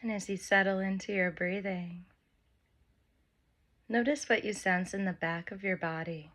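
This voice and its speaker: A woman leading a guided meditation, speaking gentle instructions in short phrases with long pauses between them. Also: medium pitch, fairly even intonation. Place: by the open air with wind and birds.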